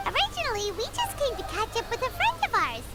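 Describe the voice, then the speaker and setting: A woman voicing a tiny, childlike floating fairy companion in a video game, chirpy and excitable. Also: very high-pitched, quick pace, over music, exaggerated voice. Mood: chatty, teasing. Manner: refers to self in third person, exclaims.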